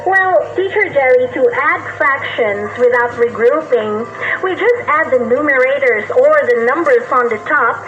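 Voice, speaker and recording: A woman's voice: high-pitched, expressive, a little slow, a bit bright, soft background music. A woman with a Filipino accent co-hosting a live radio lesson, friendly and eager, speaking directly to listeners. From Philippines. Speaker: radio lesson co-host and teacher. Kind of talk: live educational radio hosting, scripted banter. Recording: dull lo-fi radio broadcast audio.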